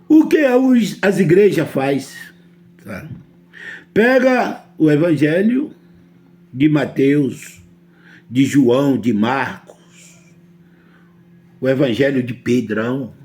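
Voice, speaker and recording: A man's voice: on the high side, darkish tone. A man from Brazil with a Brazilian Portuguese accent, preaching a sermon emphatically and often asking his listeners whether they understand.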